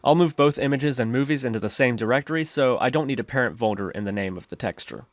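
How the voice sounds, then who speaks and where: A man's voice: medium pitch, quick pace, dry recording, soft background music. A man narrating a step-by-step screencast tutorial, explaining his reasoning as he goes. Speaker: programming tutorial host.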